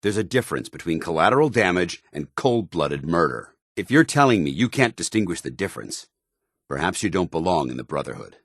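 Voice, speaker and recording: A very deep, gruff military paladin. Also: male voice, big pitch swings, fairly quick, fairly bright tone, dry recording, studio quality, exaggerated voice. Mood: steady, firm. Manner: earnest, clipped military formality. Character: stern, loyal, zealous military paladin, gruff man. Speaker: companion soldier character. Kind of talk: video game voice acting.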